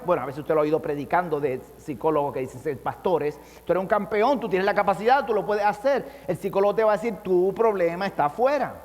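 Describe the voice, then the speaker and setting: High-voiced man preaching with swinging emphasis. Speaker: pastor. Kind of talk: sermon. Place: church hall. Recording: long church reverb.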